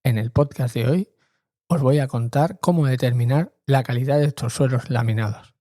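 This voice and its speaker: A man's voice: medium pitch, moderate pace, warm tone, no room sound, studio quality. A middle-aged man hosting a podcast in an informal monologue, speaking hesitantly and repeating words for emphasis. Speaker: podcast host.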